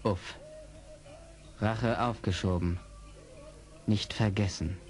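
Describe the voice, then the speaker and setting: Solemn Mohican warrior for a radio adventure, low-voiced, terse, bent on revenge. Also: male voice, fairly even intonation, slow, a little mellow. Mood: grim. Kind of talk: radio play acting.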